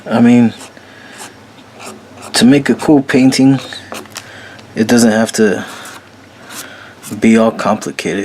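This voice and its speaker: A man with a very low voice talking casually and slowly while painting, with lots of fillers and a laid-back manner. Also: a little mellow, slight background noise. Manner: slow, rambling, frequent fillers, laid-back. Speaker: painting tutorial host. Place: small room at a painting table.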